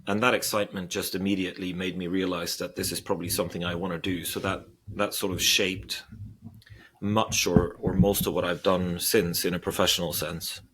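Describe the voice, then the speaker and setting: A man's voice: deep voice, little pitch movement, brisk, fairly bright tone, husky, low-quality recording. A man in his forties from Sweden, a guest investor answering questions thoughtfully, with frequent hesitations and restarts. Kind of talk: interview.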